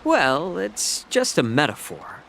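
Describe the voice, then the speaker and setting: Sly, measured scholarly healer. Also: male voice, fairly high pitch, very animated, gravelly, a bit bright, faint music behind, exaggerated voice, low-quality recording. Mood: mildly indignant. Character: sly, erudite male healer, fond of food and poison. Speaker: healer and advisor. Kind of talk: scripted video game acting.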